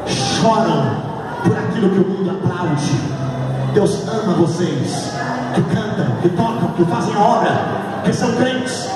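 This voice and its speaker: A Brazilian man preaches a fervent revival sermon in a high-pitched voice, hammering short phrases again and again. He is heard from far off in a big church hall, with loud music swelling behind him. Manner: exhorting, emphatic, repeats short commands and declarations. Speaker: Pentecostal pastor preaching at a congress. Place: large crowded church hall. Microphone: PA microphone heard through a distant camera. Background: loud music and congregation.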